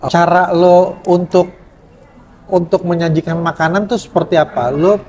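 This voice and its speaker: A man's voice: high-pitched, steady tone, a little slow, darkish tone, slight background noise. Chatty man interviewing at a cafe. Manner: chatty, prompting with short affirmations. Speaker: food vlogger interviewing a cafe chef. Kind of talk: casual interview chat.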